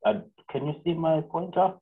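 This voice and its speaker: A young man from Zambia, a physics PhD student, giving an online seminar lecture, halting with fillers and self-corrections. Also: on the high side, husky. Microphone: video call. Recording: narrow phone-like call audio.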